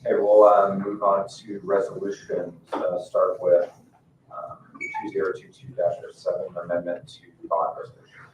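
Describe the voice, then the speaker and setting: A man's voice: low-pitched, completely flat delivery, normal speed, darkish tone. A man chairing a public meeting in procedural talk, recorded in an echoey room from a distance. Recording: echoey meeting room, distant mic, muffled, faint noise.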